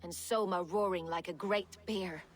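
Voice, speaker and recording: A woman's voice: lowish voice, normal speed, lightly acted. An actress playing a wry, opportunist Viking warrior woman in a video game, speaking dryly and frankly. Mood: matter-of-fact. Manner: dry, frank, unbothered. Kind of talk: dramatic game dialogue acting.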